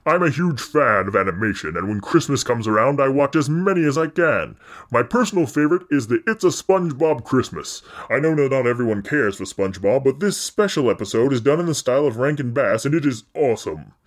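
A man's voice: medium pitch, animated, a little fast, natural voice. A man voicing an enthusiastic male listener reading out his fan letter. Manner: upbeat, enthusiastic.

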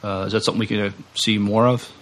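A male co-host chatting casually on a podcast. His voice is fairly low with plenty of gravelly creak, and he talks in halting phrases that tend to trail away. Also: little pitch movement, a bit bright. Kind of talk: casual chat.

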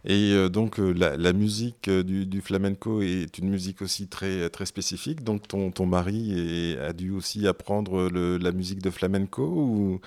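A man hosting a radio interview, asking questions in a halting way with many repeated words. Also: deep voice, steady tone, a little mellow. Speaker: radio host.

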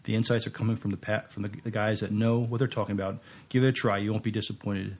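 A man with a fairly low voice full of vocal fry co-hosts a podcast. His delivery is casual and understated, with a halting flow. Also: little pitch movement, darkish tone. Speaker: podcast co-host. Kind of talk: casual podcast chat, reading listener reviews.

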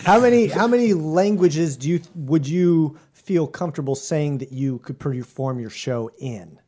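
Guy hosting a podcast interview, mellow kinda higher voice, casual n jokey. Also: lively intonation, measured pace. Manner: joking, wry. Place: hotel room.

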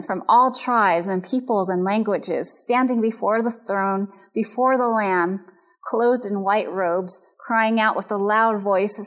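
Earnest woman teaching biblical counseling. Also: medium pitch. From Japanese and American background. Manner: earnest, measured, explanatory. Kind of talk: recorded lecture.

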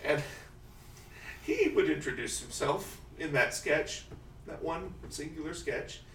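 A man in his thirties in casual chat, animated and laughing often, slipping into exaggerated quoted voices. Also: on the high side, slow. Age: 30s. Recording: roomy echo, distant mic, faint hiss.

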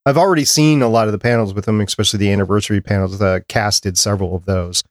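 A man's voice: on the low side, very fast, dry recording, studio quality. A man hosting a podcast in casual, conversational panel chat. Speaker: podcast host.

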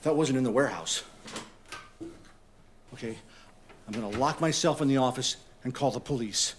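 A man's voice: medium pitch, moderate pace, a little hiss or hum, close to an everyday voice. A man playing a goofy, eager, flustered office worker in an audio comedy, with a wisecracking manner. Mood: anxious.

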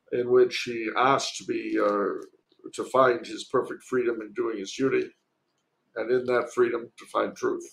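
Male podcast guest, heavy vocal fry, erudite and discursive, halting as he explains history in conversation. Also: mid-range voice, poor audio. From United States. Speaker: podcast guest, author and commentator.

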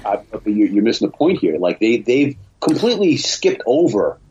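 A man's voice: fairly low pitch, very animated, normal speed, mellow, a little hiss or hum. A man explaining things bluntly in a casual podcast discussion over muffled call audio.

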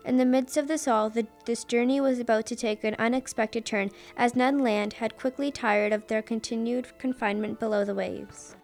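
A woman's voice: a little high, steady tone, normal speed, few pauses, darkish tone, soft background music, close to an everyday voice. A woman reading scripted storybook narration for a radio theatre play.

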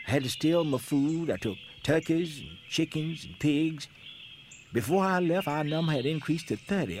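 Man acting young escaped slave, steady testimony, faint noise. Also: medium pitch, natural voice. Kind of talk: dramatic reading of historical testimony.

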